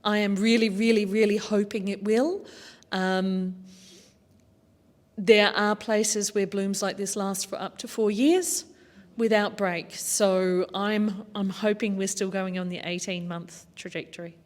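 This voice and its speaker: A woman's voice: mid-range voice, flat delivery, measured pace. A woman speaking as a panellist at a public talk, earnest and informed, with some fillers. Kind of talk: panel discussion answers. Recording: long hall reverb.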